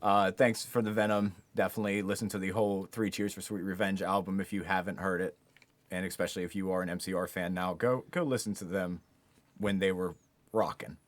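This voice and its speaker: A man's voice: sits low, steady tone, a little fast, no echo. A man co-hosting a podcast, chatting casually about music. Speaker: podcast co-host.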